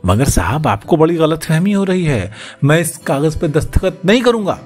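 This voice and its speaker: Storybook donkey, voiced by a man: modest, hesitant, bewildered, expressive pitch, faint music behind. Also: moderate pace, husky. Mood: polite protest. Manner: hesitant, polite, protesting. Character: humble, bewildered talking male donkey. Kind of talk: audiobook character acting.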